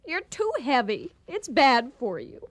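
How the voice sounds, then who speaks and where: A woman's voice: fairly high pitch, wildly swooping pitch, a little slow, exaggerated voice. An actress playing a loving but nagging, ambitious woman cook in a radio comedy-drama. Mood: worried. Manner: earnest, fretting.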